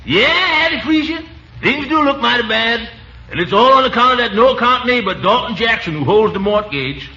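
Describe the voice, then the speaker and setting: A man's voice: very high-pitched, animated, normal speed, bright, forceful tone, pitched above the performer's own voice, full character voice. A man voicing a comical old Southern husband, grumbling in a folksy way, in 1940s radio comedy style. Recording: muffled 1940s radio broadcast.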